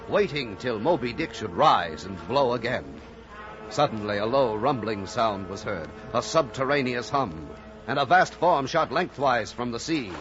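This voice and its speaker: Muffled vintage radio, music underneath. Male actor as solemn storyteller of a sea tale, mid-pitched, measured. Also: lightly acted. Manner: measured, literary, theatrical. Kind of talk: scripted dramatic narration, old-time radio. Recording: muffled old radio recording.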